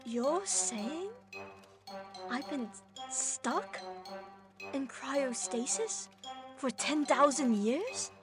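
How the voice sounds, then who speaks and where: Radio play lead played by a woman: displaced human relic, incredulous and earnest, high, animated voice over music. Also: extremely slow, pauses often, full character voice. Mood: stunned. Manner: incredulous, expressive. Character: bewildered, indignant human revived from cryostasis, earnest.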